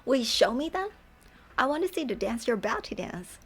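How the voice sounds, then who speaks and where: Woman putting on a high, teasing young songstress. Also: big pitch swings, a little fast, fairly bright tone, slightly rough, heavily acted. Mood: eager, taunting. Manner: playful, taunting, lilting. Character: teasing, bright, bold young songstress girl. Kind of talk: dramatic game story dub. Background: music.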